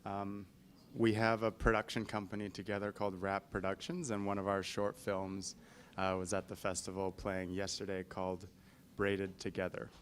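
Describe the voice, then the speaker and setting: A man's voice: deep voice, little pitch movement, moderate pace. A man from Toronto introducing himself on a panel, brief and matter-of-fact. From Toronto, Canada. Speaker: panelist, filmmaker.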